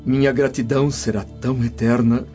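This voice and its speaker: A man playing a humble, deeply grateful freed Roman slave in a radio drama. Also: level tone, moderate pace, lightly acted. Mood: moved, grateful. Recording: dull lo-fi, loud music behind.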